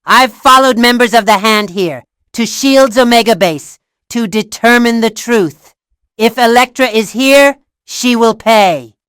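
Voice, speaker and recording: A woman's voice: on the high side, unhurried, fairly bright tone, clear, resonant tone, no echo, studio quality. A woman voicing a determined, vengeful action heroine with an earnest, formal delivery in fan-made game dialogue. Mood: resolved.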